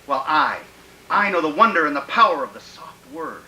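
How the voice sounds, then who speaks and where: An actor playing a megalomaniac, menacing political schemer in a radio drama, declaiming in a grandiose, theatrical way. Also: male voice, a little high, very animated, brisk, creaky, sharp tone, reverberant, heavily acted, low-quality recording. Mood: smooth, scheming. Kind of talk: old-time radio drama acting.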